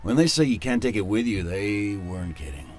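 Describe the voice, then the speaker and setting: A man's voice: on the low side, very animated, a little fast, a little mellow, soft background music. An actor playing an older, wealthy dead man in an audio drama, resigned and scornful, speaking in a weary, terse, cynical way. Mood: bitter regret. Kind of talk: modern audio drama acting.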